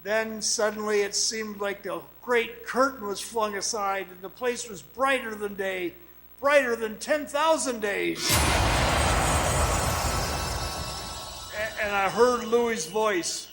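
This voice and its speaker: A man playing a weary, awestruck man who recounts an eerie ordeal in a radio drama, dramatic, halting and haunted. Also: high-pitched, noisy background. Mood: tense wonder. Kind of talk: dramatic radio play narration. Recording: music behind the voice.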